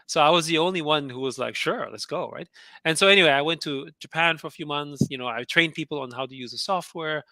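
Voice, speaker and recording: A man's voice: on the high side, fast, bright, forceful tone, no room sound. A middle-aged man, originally from Austria with German as his first language, casually telling his story in an interview, chatty with frequent laughs and restarts. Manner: chatty, laughs often, many fillers and restarts. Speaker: guest entrepreneur.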